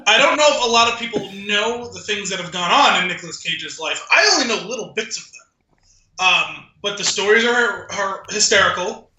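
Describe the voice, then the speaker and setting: A man's voice: high voice, animated, normal speed, bright, forceful tone, reverberant, low-quality recording. A man co-hosting a podcast in casual chat, telling stories with offhand profanity. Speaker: podcast co-host.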